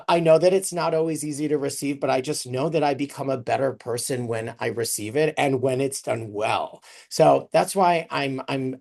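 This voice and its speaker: A workshop leader speaks to an online audience in a mid-pitched voice with plenty of vocal fry. The delivery is earnest and reflective, like someone presenting while thinking aloud. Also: a little mellow.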